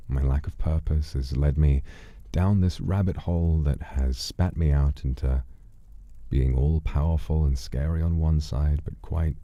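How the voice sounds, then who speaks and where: A man with an extremely low, very dark and mellow voice muses to his livestream audience. He is soft-spoken and sincere, taking his time and often sinking into a breathy, gravelly murmur. The audio is slightly dull and muffled. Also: no room sound. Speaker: livestream host. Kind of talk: casual livestream chat, reflective.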